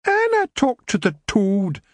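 A man's voice: high-pitched, huge pitch swings, measured pace, no room sound, studio quality, pitched above the performer's own voice, heavily acted. A man voicing a sulky young female fairy with a Scottish accent, wheedling and pouty.

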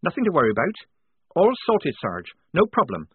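A man reading an audiobook, voicing a quick-witted young woman soldier who talks tensely and insistently. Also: sits high, huge pitch swings, slight background noise, voice pushed up. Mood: hurried. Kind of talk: audiobook character voice. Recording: dry, tinny phone-like sound.

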